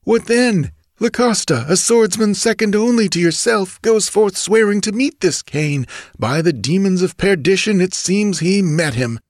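A man's voice: sits high, expressive, measured pace, no echo, studio quality, voice pushed up. A man voicing a frightened, superstitious male bandit who babbles nervously. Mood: anxious. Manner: jittery, babbling.

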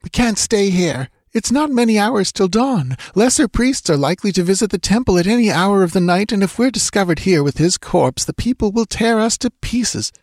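Fierce young woman, breathless and urgent, raised pitch, read by a man. Dry close sound. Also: animated, moderate pace, few pauses, a little mellow, no room sound.

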